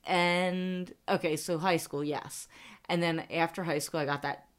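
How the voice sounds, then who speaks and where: A woman's voice: lowish voice, steady tone, unhurried. A woman in her forties from Pennsylvania, co-hosting a casual money podcast, chatty, laughing often and poking fun at herself. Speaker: podcast co-host. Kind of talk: casual chat.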